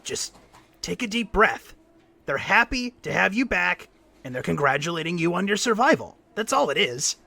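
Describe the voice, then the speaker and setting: A concerned, wry mechanic friend played by a man in an audio drama. He speaks earnestly and haltingly in a slightly high, sharp voice with a marked creak. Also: very animated, exaggerated voice. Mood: reassuring. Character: worried, loyal male mechanic friend, irreligious and wry.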